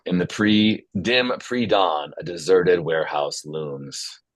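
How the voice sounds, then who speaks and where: Male narrator for a screenplay table read: low register, dry close sound, reads action and scene headings. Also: lively intonation, takes it slowly, dry recording. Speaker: stage directions reader.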